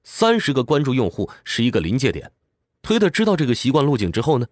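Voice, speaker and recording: A man narrates an explanatory script with highly animated, swinging intonation. He lays out points in an orderly, instructive manner, like a book-summary presenter. The recording is dry and close, with a mid-range voice. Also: no room sound.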